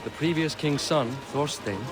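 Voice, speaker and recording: A man's voice: on the high side, normal speed, close to an everyday voice. An actor playing a genial but world-weary Viking king in a video game, with a wry, old-fashioned way of talking. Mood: troubled, wry. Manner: wry, self-deprecating, old-fashioned phrasing. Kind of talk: dramatic video game acting. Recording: music behind the voice.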